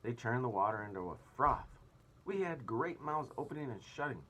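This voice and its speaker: A man voicing a helpful female spider from a children's story in a brisk, assured manner. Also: mid-range voice, lively intonation, bright, forceful tone, a little hiss or hum, voice pushed up, rough recording. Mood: insistent.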